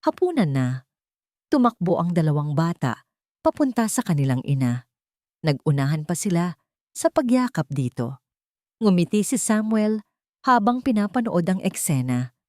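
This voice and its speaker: A woman narrating a scripted audio drama in an expressive, emotive storytelling manner. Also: lowish voice, moderate pace, long pauses, no room sound, clean studio sound.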